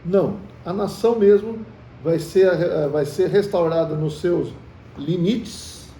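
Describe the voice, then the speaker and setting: A man's voice: sits high, slow pace, warm tone. A man with a Brazilian Portuguese accent leading a Bible study, talking in a conversational way, quizzing the group with questions and calling them brothers. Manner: calls the listeners brothers. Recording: echoey room, from far off, faint hiss.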